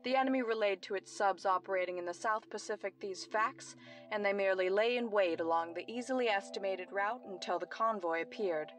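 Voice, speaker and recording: A woman's voice: medium pitch, a little fast, soft background music, close to an everyday voice. An actress playing a brilliant young woman detective, explaining her deductions precisely in old-time radio mystery style. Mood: intent, explanatory.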